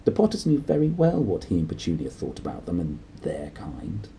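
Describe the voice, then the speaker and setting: A man narrating a children's storybook aloud in an expressive style. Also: sits low, fairly quick, creaky, a little hiss or hum. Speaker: storybook reader.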